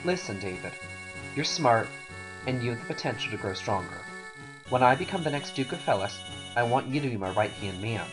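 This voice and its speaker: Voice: medium pitch, animated, fairly quick, close to an everyday voice, rough recording. Performer voicing proud, imperious young knight over music. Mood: persuasive. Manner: imperious, indignant. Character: proud, commanding young male knight, heir to a dukedom. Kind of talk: voiced narration of game cutscene dialogue. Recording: game music behind voice.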